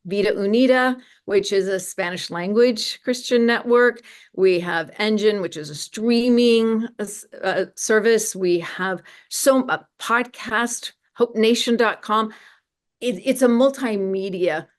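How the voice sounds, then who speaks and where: A woman's voice: mid-range voice, a little slow. A woman speaking earnestly and enthusiastically as an interview guest promoting her organization's work. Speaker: guest, media ministry representative.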